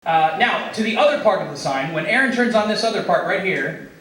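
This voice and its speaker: Higher-voiced man, very echoey room. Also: expressive, a little fast. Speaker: radio theater group member. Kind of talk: casual talk. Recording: very echoey, distant, faint noise.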